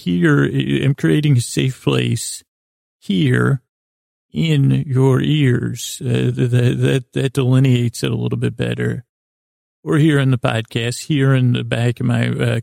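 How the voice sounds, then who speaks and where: A man hosting a sleep podcast, rambling through a whimsical bedtime monologue in a halting way, often repeating himself and talking to himself. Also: mid-range voice, creaky, mellow, no echo, studio quality. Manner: halting, meandering, repeats and trails off; whimsical, talks to himself in imagined dialogue. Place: inside a small closet.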